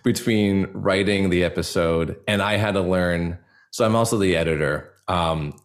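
A man speaking reflectively as a podcast guest, earnest and halting, with a self-deprecating way of talking. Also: low voice. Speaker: podcast guest, audio drama creator. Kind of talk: reflective interview conversation.

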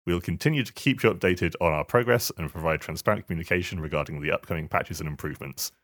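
A man's voice: sits low, fast talker, fairly bright tone, no echo, studio quality. A man talking casually on a podcast, sometimes reading a statement aloud. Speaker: podcast panellist.

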